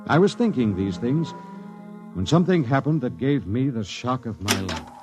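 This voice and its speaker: An actor playing a grim, doomed space expedition captain in a 1950s radio drama, solemn and stern. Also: male voice, medium pitch, animated, normal speed, lightly acted. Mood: somber, brooding. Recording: dull vintage radio recording with music.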